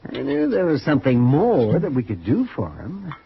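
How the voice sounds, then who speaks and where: Folksy, swooping frontier doctor. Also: male voice, medium pitch, wildly swooping pitch, gravelly. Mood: delighted. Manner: folksy, drawn-out exclamations. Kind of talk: 1950s western radio acting. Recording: dull, faintly noisy old radio.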